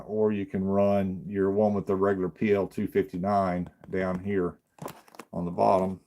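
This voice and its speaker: A man giving casual unboxing commentary, haltingly thinking aloud as he hosts his video. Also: on the low side, darkish tone.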